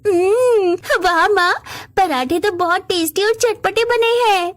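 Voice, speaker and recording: A woman's voice: very high voice, slow, no echo, voice pushed up. A woman voicing a young child of a poor family in a cartoon story, whining and eager. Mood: delighted.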